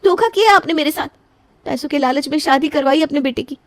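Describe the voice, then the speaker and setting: A woman's voice: high-pitched, no room sound, voice pushed up, close to an everyday voice. A woman playing a flustered, scheming young bride. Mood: furious. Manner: frantic self-talk, quick to outrage. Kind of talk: animated cartoon voice acting.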